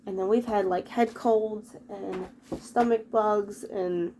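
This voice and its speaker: A woman's voice: medium pitch, fairly even intonation, takes it slowly, noisy background. Chatty woman rambling with a head cold. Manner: rambling, chatty, self-interrupting. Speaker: vlogger. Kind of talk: casual chat while tidying. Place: bedroom at home.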